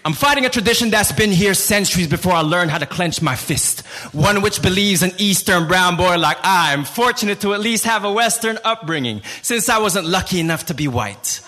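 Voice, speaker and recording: Man, Filipino accent, native Tagalog speaker, slam poet, live venue, forceful rhythmic delivery, high voice. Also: lively intonation, brisk, hardly any pauses. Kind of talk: spoken word performance. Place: live venue before an audience.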